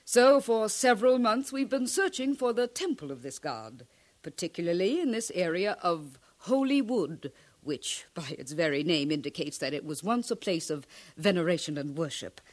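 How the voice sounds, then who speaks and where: A woman's voice: lowish voice, expressive, normal speed. An actress playing a confident, learned woman scientist in a 1950s radio drama, lecturing in precise, formal phrases.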